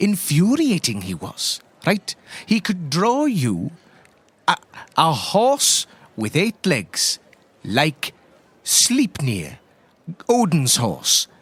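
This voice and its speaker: A man's voice: a little high, huge pitch swings, extremely slow, a bit bright, slightly rough, no echo, full character voice. A man playing a chatty, tipsy priest who loves tall tales, with an Irish accent and a rambling, lilting delivery, in an improvised tabletop game. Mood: animated, musing. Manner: rambling, lilting storyteller with repeated tag phrases.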